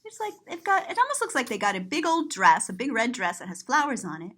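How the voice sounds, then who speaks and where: Female children's storybook reader, high voice with lively swoops, playful and expressive, describes pictures simply. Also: quick pace, breathy edge. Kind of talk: animated read-aloud to children, describing pictures.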